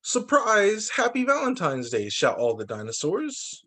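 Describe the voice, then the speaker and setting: A man who teaches young children, reading picture books aloud in an expressive, sing-song storytime delivery. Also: high-pitched, unhurried. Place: remote video call story session.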